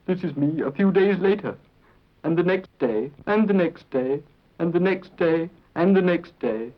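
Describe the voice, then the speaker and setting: An actor playing a rueful, deadpan British nephew narrating a 1940s public information film. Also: male voice, a little high, unhurried. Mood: rueful, dry. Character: rueful, deadpan nephew who lost his aunt's inheritance. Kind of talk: scripted first-person film narration, 1940s. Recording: dull vintage film soundtrack.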